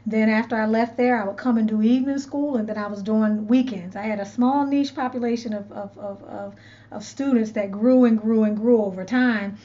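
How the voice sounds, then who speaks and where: Woman, earnest casual storyteller, slightly high voice, dull lo-fi audio. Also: steady tone, moderate pace, mellow. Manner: chatty, earnest, repeats words while searching. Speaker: loc stylist and channel host.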